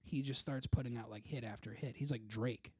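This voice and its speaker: A man's voice: medium pitch, fairly even intonation, fairly quick, a little mellow, breathy edge, no room sound, clean studio sound. A man co-hosting a podcast in casual chat, joking around and slipping into mock quotations. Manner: joking, slips into mock quotes. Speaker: podcast co-host. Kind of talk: casual chat, comedic banter.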